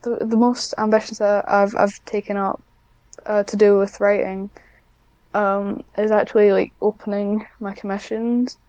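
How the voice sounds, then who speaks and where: A woman's voice: mid-range voice, little pitch movement, moderate pace, a little mellow, clear, resonant tone, no echo. A woman chatting casually as a podcast guest, talking in a hesitant, self-deprecating way. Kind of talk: casual one-on-one interview chat.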